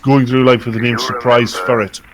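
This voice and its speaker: A man chatting casually as a comic commentator, joking in a halting way. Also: medium pitch, level tone, crowd noise. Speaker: riffing commentator. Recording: loud radio show playing behind.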